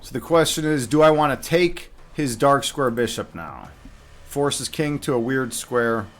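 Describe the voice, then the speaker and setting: A man's voice: mid-range voice, animated, unhurried, soft background music. A man giving casual live commentary on his own game as a streamer, muttering half-finished thoughts to himself as he thinks aloud.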